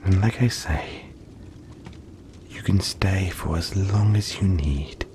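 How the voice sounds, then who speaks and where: A man voicing a gentle, friendly young yeti in an intimate audio roleplay, speaking softly and reassuringly, with halting, breathy phrasing. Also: low voice, a little hiss or hum. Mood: fond, relaxed. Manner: soft-spoken, reassuring, halting, often breathy near-whisper.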